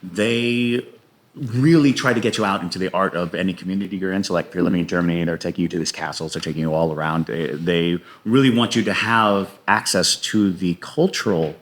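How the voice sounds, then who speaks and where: Male interview guest: low voice, casual storyteller, halting delivery with repeated words. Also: a bit bright.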